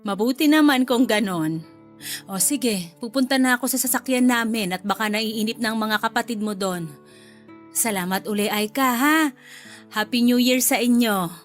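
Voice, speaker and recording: Woman doing a sweet chatty older mom-in-law, radio drama, soft music under it. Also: on the high side, moderate pace, lightly acted. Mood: motherly. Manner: warm, motherly, polite thanks. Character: kind older mother-in-law, gracious and chatty. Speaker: mother-in-law character. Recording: faint music bed behind.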